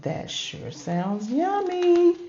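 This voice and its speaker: An older woman voicing an eager, polite, hungry little boy in a storybook, with drawn-out, wistful words. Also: very high-pitched, big pitch swings, mellow, reverberant, highs cut off, faint music behind, pitched above the performer's own voice, exaggerated voice. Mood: eager, longing.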